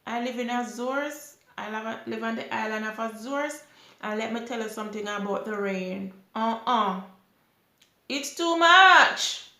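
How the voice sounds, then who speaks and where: Jamaican lady on her couch answering viewer qs, slow and easygoing, bit of fry, roomy sound. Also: a little high. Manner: unhurried, chatty, with lively rises when emphatic. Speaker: vlogger answering viewer questions. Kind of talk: casual Q&A chat. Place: small indoor room, seated on a sofa. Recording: echoey room.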